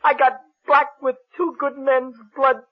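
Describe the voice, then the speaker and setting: An American actor playing a guilt-ridden, love-sick killer in vintage radio drama, halting, repetitive and pleading. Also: male voice, very high-pitched, little pitch movement. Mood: bitter. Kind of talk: 1940s-50s American radio drama acting. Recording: muffled vintage radio broadcast.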